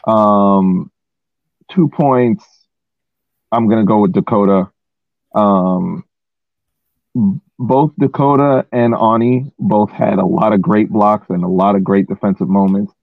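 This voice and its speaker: A man co-hosting a sports podcast, giving casual analysis in measured, hesitant phrases over a thin phone-like connection. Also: fairly low pitch, gravelly, no echo.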